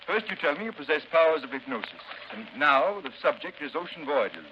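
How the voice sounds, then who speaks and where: Pompous British gentleman, swooping intonation. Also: a little high, very animated, brisk. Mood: bored, dismissive. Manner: pompous, sputtering. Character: pompous, blustering English gentleman yacht owner. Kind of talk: 1930s radio acting. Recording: dull, hissy 1930s radio recording.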